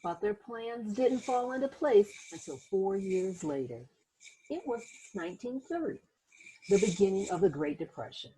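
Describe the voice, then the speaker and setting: A woman hosts a picture book read-aloud for children. Her voice is very husky and soft-edged, with a mellow tone. She tells the story with lively, varied pitch and speaks directly to young listeners in small asides. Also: normal speed, low-quality recording.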